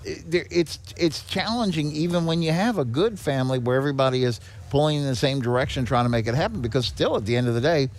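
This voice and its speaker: A man speaking as a charity director and podcast guest, explaining things in a measured, conversational way. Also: on the high side.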